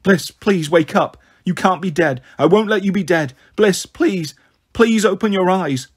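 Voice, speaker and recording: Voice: mid-range voice, expressive, normal speed, voice pushed up, close to an everyday voice. A reader voicing an anxious, bossy young girl minding her siblings in a storybook, urgent and coaxing. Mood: panicked.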